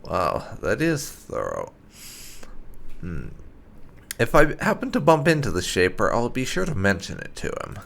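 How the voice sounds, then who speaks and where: A man's voice: lowish voice, huge pitch swings, a little slow, vocal fry, pauses often, fairly bright tone. A man giving casual gameplay commentary with light roleplay, musing and murmuring to himself. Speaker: gaming channel host, player.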